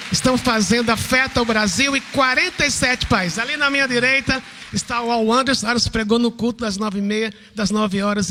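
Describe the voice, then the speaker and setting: A man's voice: very high voice, sharp tone, a little hiss or hum. Male Brazilian pastor in a reverberant hall. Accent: Brazilian Portuguese. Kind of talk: church welcome and guest introduction. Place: large church hall, speaking to congregation. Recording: reverberant church hall sound system.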